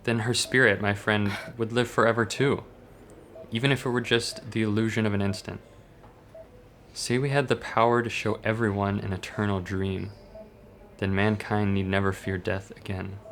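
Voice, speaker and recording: A man playing a brooding, obsessive doctor in a horror manga dub, speaking in a grave, detached, gravelly way. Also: on the low side, level tone, normal speed, a bit bright, slight background noise. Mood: coldly intense. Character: brooding, obsessive male doctor, coldly rationalizing human experiments.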